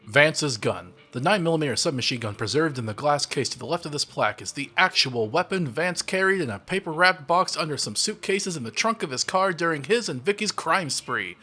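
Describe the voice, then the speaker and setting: A man reading game text aloud as a measured, deadpan narrator. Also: fairly high pitch, soft background music. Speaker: narrator of the playthrough.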